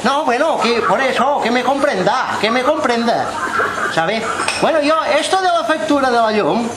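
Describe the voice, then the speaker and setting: A man's voice: very high-pitched, expressive, moderate pace. A man voicing a muddled old man of seventy-eight with a Catalan accent, mumbling, stammering and repeating himself on a prank phone call. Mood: muddled, apologetic. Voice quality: slurred, toothless mumble. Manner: rambling, repetitive, stammering, shouting. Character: doddery toothless old man of 78, muddled caller. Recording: noisy, echoey prank phone call recording.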